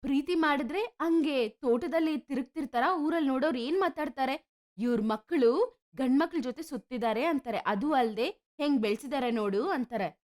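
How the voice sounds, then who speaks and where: Lady playing a village mom, kindly but worried, high voice, gently scolding, story dialogue. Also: moderate pace, close to an everyday voice. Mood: admonishing. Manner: gently admonishing, motherly. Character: concerned, kindly middle-aged village mother. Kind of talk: animated moral story dialogue.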